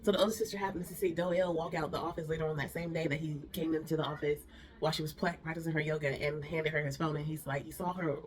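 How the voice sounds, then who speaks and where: A woman's voice: low-pitched, steady tone, fast. A young woman with a nasal, stuffy voice from a cold, chattily recapping a TV drama with lots of fillers and restarts. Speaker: reaction video host. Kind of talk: casual drama recap and review.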